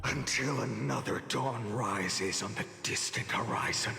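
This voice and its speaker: An actor playing a weary, defiant young hero in a dramatic anime game style, solemn and grave with whispered emphasis. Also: male voice, medium pitch, unhurried, creaky. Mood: resolute. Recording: echoey long reverb, game music behind.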